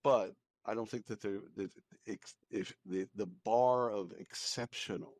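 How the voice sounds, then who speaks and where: A man with an American accent and a fairly deep voice, recorded very dry. He talks as a podcast co-host, casual and opinionated, often doubling back on a phrase for emphasis. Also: fairly even intonation, unhurried, darkish tone, no room sound. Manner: informal, repeats phrases for emphasis. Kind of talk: casual opinionated news discussion.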